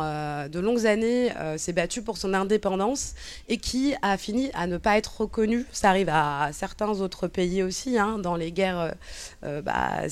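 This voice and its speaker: Woman, 30s, from Cabinda, interview guest, hesitant, reflective, smooth voice. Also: mid-range voice. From Cabinda, Angola. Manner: hesitant, reflective, many fillers and restarts. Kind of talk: personal testimony in conversation.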